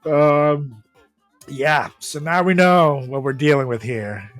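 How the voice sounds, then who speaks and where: A man co-hosting a comedy podcast, giggly and halting as he banters and reads a comic story. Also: a little high, fairly bright tone, faint music behind. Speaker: podcast co-host.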